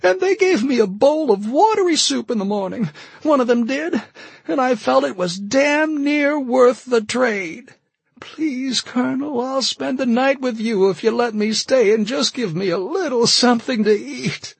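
A man's voice: fairly high pitch, big pitch swings, normal speed, slightly rough, no room sound, voice pushed up, full character voice. A man voicing a hungry refugee woman who pleads with a soldier and nears hysteria. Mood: hysterical. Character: desperate, hungry, sobbing young woman refugee.

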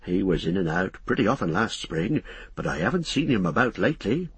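A man's voice: deep voice, very animated, fairly quick, fairly bright tone, husky, dry recording, heavily acted. A man voicing a folksy middle-aged country innkeeper in a storybook reading, rambling and confiding in rustic speech. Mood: confiding, gossipy.

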